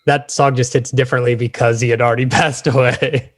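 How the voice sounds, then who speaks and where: A man with a medium-pitched voice, hosting a podcast interview. He talks in an informal, conversational way. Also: flat delivery, a little fast. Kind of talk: casual interview chat.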